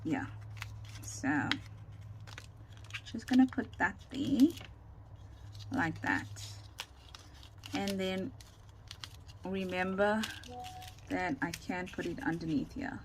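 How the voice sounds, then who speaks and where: A woman giving a casual, chatty craft demonstration, thinking aloud in a rambling way with a loud, carrying voice, recorded without a separate microphone. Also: fairly low pitch, slow pace, long pauses. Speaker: craft tutorial host. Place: indoors at a home craft desk. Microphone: camera or phone without separate microphone. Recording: noisy room, no microphone.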